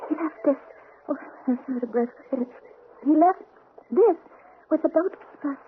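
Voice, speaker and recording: Refined young noble wife played by a woman: high, romantic, anxious, old radio-play manner through thin hissy sound. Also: normal speed, phone-quality sound, lightly acted. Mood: agitated. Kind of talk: old-time radio drama acting.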